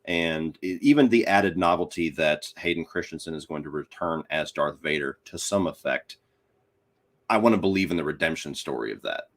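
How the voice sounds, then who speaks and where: A man's voice: extremely low pitch, expressive, moderate pace, a bit bright. A man speaking as a podcast guest, giving his opinions on films in a casual, conversational way. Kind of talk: casual film discussion.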